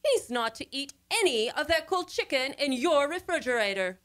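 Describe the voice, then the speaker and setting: A woman's voice: sits high, very animated, normal speed, a bit bright. An actress playing a bossy, scolding married woman in an old-time radio comedy, speaking imperiously. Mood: bossy, fussy. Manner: imperious, insistent.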